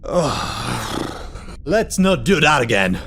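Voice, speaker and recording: A man's voice: medium pitch, big pitch swings, drawn-out words, creaky, fairly bright tone, pitched above the performer's own voice, full character voice. Injured male sci-fi medic, voiced by a man: groggy, weary, crackly mid-range voice for tabletop roleplay. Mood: groggy, pained. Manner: weary, groaning.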